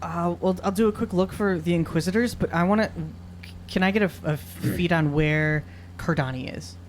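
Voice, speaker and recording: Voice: mid-range voice, moderate pace, slight background noise. A tabletop game player talking casually while working out moves at the table.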